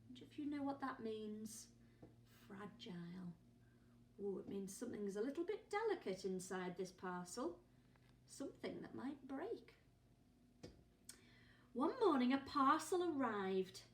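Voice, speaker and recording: A woman's voice: mid-range voice, very animated, unhurried, long pauses, slight background noise. A woman, a primary teacher reading a picture book to young children, asking them questions expressively. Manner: asks listeners questions, gasps and whispers expressively. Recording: roomy echo, distant microphone.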